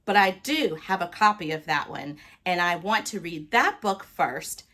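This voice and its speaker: A woman talking casually to viewers as a book vlogger, with an expressive, playful and emphatic delivery. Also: fairly low pitch, normal speed. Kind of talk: casual, chatty talk to viewers.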